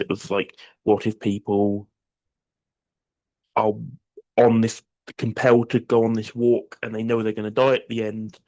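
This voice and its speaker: A man on a video call with a sore throat, pitching a story idea in a hesitant, halting way. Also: darkish tone, low-quality recording. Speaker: workshop participant.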